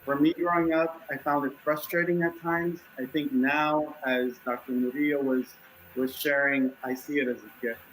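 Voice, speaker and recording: Brief: thirties male panel guest from Los Angeles, mid-pitched, thoughtful and articulate. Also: fairly even intonation, fairly bright tone, slight background noise, poor audio. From Los Angeles, United States. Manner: measured, reflective, articulate. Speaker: community leader, panel guest. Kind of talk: panel discussion.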